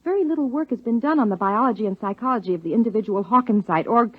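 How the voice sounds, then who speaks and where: A woman's voice: a little high, animated, rapid-fire, no echo. A woman playing an earnest, determined, articulate wife and scientist in a 1950s radio drama. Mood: earnest, persuading. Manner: articulate, insistent, formal. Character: earnest, determined wife and biologist, narrating her story. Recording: muffled old 1950s radio broadcast.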